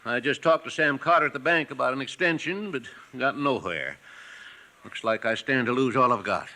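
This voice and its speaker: A man's voice: medium pitch, lively intonation, normal speed, pushed and bright. An actor playing a worried, honest horse rancher and father, hesitant and downcast, in a 1950s radio western. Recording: old 1950s radio recording.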